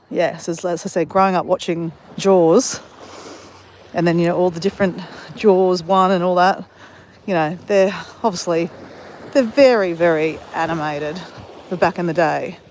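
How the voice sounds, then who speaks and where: A woman's voice: on the low side, a little mellow, a little hiss or hum. An Australian woman chatting casually while walking on a beach, telling a rambling story full of self-corrections. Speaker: vlogger. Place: outdoors on a beach.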